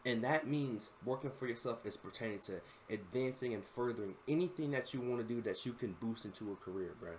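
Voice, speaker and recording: A man's voice: mid-range voice, brisk, hardly any pauses, a little mellow, slight background noise, poor audio. Slangy young man saying bro a lot. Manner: slangy, says "bro" constantly, rambling and earnest. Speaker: content creator reacting to a video. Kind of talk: casual reaction commentary.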